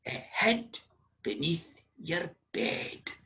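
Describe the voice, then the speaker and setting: A storyteller acts out a wee Scottish granny telling bedtime horror, sly and unhurried. She teases and relishes the scare, in a bright voice with lively pitch, on a slightly dull recording. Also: slight background noise, exaggerated voice. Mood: sly, ominous.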